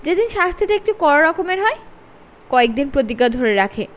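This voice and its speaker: A woman reading a funny children's story aloud as host of her own channel, with expressive, sing-song emphasis. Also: sits high, brisk.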